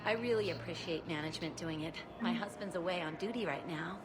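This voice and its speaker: A woman's voice: on the low side, brisk, a crowd in the background, lightly acted. A woman playing a polite, composed female shop clerk and proud military wife in a video game. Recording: loud background music.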